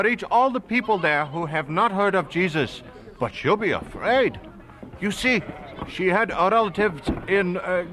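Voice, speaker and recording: Radio drama evangelist: Chinese, devout, formal, candid about his fears, high and forceful. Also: a little hiss or hum, exaggerated voice. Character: earnest Chinese male evangelist, devout but candidly fearful. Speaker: voice actor in an audio drama. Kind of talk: radio drama dialogue acting.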